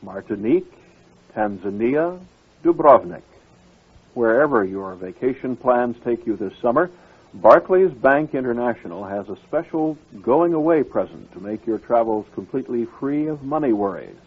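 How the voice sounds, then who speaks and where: A man's voice: medium pitch, lively intonation, normal speed, breathy edge. A man working as a radio station announcer, reading scripted ads and programme introductions. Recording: narrow, phone-like radio sound.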